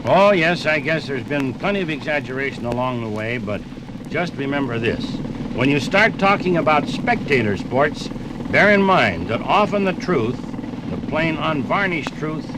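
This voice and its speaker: A man's voice: on the high side, big pitch swings, normal speed, very noisy. An American man in scripted 1950s radio dialogue and narration, speaking like an emphatic storyteller. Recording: 1958 radio program from LP record. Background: music.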